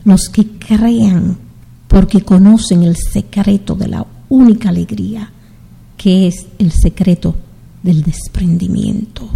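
A middle-aged woman from the Dominican Republic hosting a community radio show, speaking in an unhurried, heartfelt way as she greets her listeners. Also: mid-range voice, steady tone, creaky, dark tone, slightly rough, big-room sound, rough recording. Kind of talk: slow, warm community radio sign-off.

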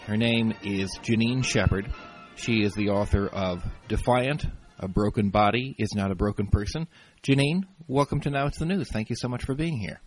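A man hosting a radio interview, asking questions in a hesitant, stumbling way. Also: lowish voice. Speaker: radio host.

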